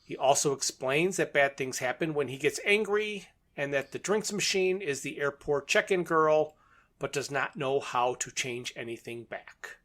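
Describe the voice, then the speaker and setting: A man's voice: fairly high pitch, normal speed, a bit bright. A man co-hosting a podcast, recapping a plot in a methodical, matter-of-fact way. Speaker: podcast co-host.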